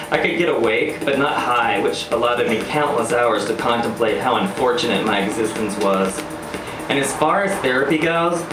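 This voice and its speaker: Sardonic male spoken-word performer, roomy live sound. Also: mid-range voice. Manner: wry, sardonic, theatrical. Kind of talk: scripted first-person spoken-word storytelling, live. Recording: roomy live venue, distant mic, music. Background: electronic music beats.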